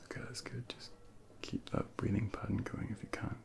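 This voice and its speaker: A man speaking softly and breathily in an ASMR medical role play as a junior doctor, gently reassuring and giving step-by-step instructions into a close binaural microphone. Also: lowish voice, flat delivery, normal speed. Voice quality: breathy, whispery, with heavy creak.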